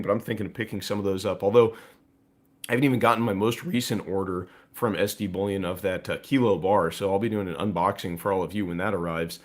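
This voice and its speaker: A man with a hoarse, raspy voice hosting a live stream, talking through market news casually to viewers. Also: lowish voice. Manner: conversational, with frequent fillers and asides to viewers. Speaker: host of a precious metals live stream.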